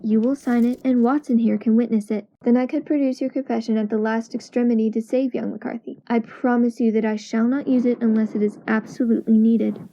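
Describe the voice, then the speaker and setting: A woman's voice: fairly high pitch, steady tone, a little fast, hardly any pauses, warm tone, natural voice. A woman playing a confident, precise, analytical detective in an amateur audio drama, explaining things in an assured way. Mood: measured, businesslike. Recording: dull lo-fi audio with music.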